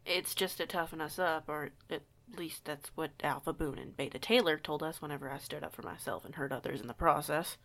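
A woman's voice: sits low, steady tone, brisk, few pauses, fairly bright tone. A woman playing a young werewolf outsider in an audio roleplay, stammering, sweary and emphatic. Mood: defensive, candid. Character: young female werewolf outsider, flustered, foul-mouthed, fiery.

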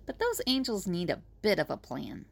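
A woman's voice: mid-range voice, wildly swooping pitch, normal speed, creaky, full character voice. An actress playing a level-headed, friendly woman prisoner who leads the plans in an audio drama. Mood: composed, planning.

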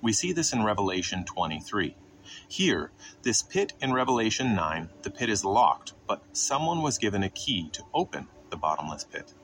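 A man's voice: fairly low pitch, sing-song, moderate pace, fairly bright tone. A man narrating scripted Bible exposition in a didactic way, posing questions and then answering them. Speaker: Bible teacher narrating a podcast.

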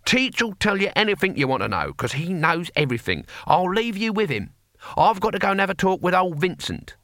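An ancient barbarian warrior played by a male narrator from a comic fantasy audiobook, pitched up and bright. He is proud and defiant, boasting bluntly in rough everyday speech, with a very lively swing to his delivery. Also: a little fast, dry recording, clean studio sound. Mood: benevolent, defensive. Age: elderly. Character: ancient toothless barbarian hero, proud, gruff, defiant old man.

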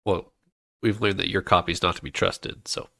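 A fairly low-voiced man hosting a relaxed podcast chat, a little nasal because he is fighting off a cold. He steers the talk and passes turns to his co-hosts, and the sound is dry and close with almost no room. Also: moderate pace, gravelly, husky, no room sound. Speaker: podcast host.

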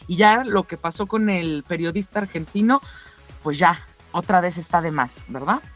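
A woman's voice: fairly low pitch, normal speed. A woman co-hosting a radio show, giving sports news commentary in a conversational, emphatic way. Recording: music bed under the voice. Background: music.

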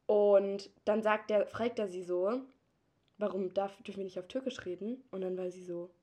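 A woman's voice: medium pitch, steady tone, normal speed, mellow. A young woman co-hosting a podcast, telling a work anecdote in casual chat and laughing often. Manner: laughs often, tells anecdotes with acted quotes. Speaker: podcast co-host.

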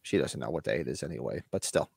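Fast-talking stuffy-nosed man. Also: a bass voice, creaky, slightly rough, no room sound, clean studio sound. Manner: rambling, animated storyteller. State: stuffy nose from allergies, sniffly. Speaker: podcast co-host. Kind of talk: casual chat.

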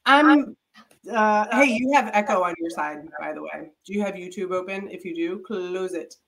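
Podcast co-host, woman with a lower voice, congested from a head cold, relaxed and chatty. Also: normal speed, poor audio. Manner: chatty, laughs often, many fillers. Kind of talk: casual chat.

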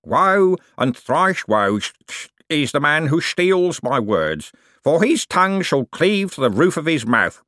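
An audiobook narrator, a man, putting on an old male swindler in a preacher's guise. He is solemn and wheedling, drawing words out through mushy, whistling false teeth. The pitch swings up and down with mock piety. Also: sing-song, slow, a bit bright, no room sound, clean studio sound, heavily acted. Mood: preachy. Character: old male con man posing as pious, repentant preacher.

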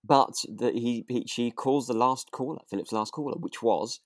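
A man's voice: mid-range voice, moderate pace, dry recording, clean studio sound. A man co-hosting a podcast, recapping a drama in casual, agreeable chat with hesitant, trailing asides. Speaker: podcast co-host.

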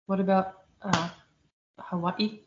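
A woman co-hosting a casual chat, talking in a rambling, lively way about her week as a church school teacher. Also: medium pitch, takes it slowly, noisy background. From outside the United States. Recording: dull lo-fi sound.

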